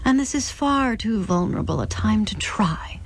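A woman voicing a calm grave spiritualist man. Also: mid-range voice, moderate pace. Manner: gentle but sobering. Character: grave young male spiritualist guardian, calm and stern.

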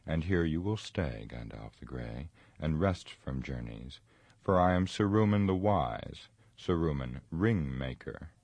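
A man voicing a scornful, proud wizard in a dramatic fantasy audiobook, with a pompous, sneering, drawn-out delivery. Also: very deep voice, animated, darkish tone, no room sound, heavily acted. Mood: scornful, boastful. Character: scornful, proud, grave male wizard with cold menace.

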